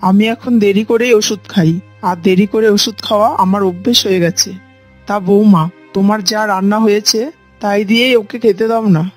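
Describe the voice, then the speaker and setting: A woman's voice: medium pitch, fairly even intonation, fairly quick, close to an everyday voice. Woman voicing an elderly, self-effacing village mother-in-law. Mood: placating, gentle. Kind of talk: cartoon character dialogue. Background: faint music.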